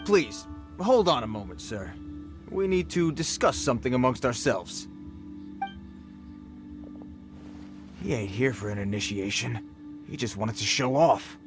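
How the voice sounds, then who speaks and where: Man doing a rough bandit boss, brash, sneering, lively pitch, soft music behind. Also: normal speed, lightly acted. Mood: wary. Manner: brash, mocking street slang. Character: gruff male treasure-hoarder bandit leader. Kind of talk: game voice acting.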